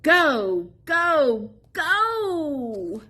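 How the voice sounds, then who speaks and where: Very slow, animated woman reading a picture book. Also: sits high. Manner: sing-song, drawn-out, playful emphasis on sound words. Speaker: storybook reader at a public library. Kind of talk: expressive read-aloud of a children's picture book.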